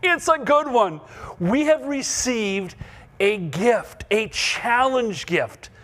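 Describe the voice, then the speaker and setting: A man hosting a live gala fundraiser, speaking with excited, urgent, rallying energy. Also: extremely high pitch, takes it slowly. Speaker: fundraising host.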